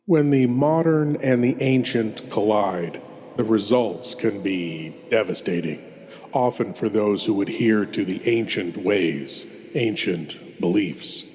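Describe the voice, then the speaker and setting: A man hosting a horror show, narrating theatrically and portentously with deliberate dramatic pauses. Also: lowish voice, a little mellow, in a large hall.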